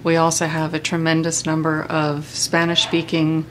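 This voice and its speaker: A woman with a distinctly low voice explains things in formal, deliberate phrases, as a medical center representative in an interview. A faint rumbling noise runs behind her. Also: monotone, rough recording. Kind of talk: explanatory interview remarks for a hospital newsreel.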